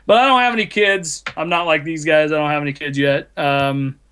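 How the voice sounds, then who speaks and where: A man's voice: fairly high pitch, steady tone, a little fast, fairly bright tone, smooth. A man in his late twenties, raised in the United States, rambling and mocking himself as a podcast host. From Ireland, raised in the United States. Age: 20s. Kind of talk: casual chat.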